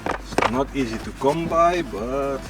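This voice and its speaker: A man's voice: medium pitch, takes it slowly. A man with a Dutch accent hosting a casual car repair walkthrough, chatting to a helper and calling viewers guys. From Netherlands. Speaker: car repair channel host. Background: background music.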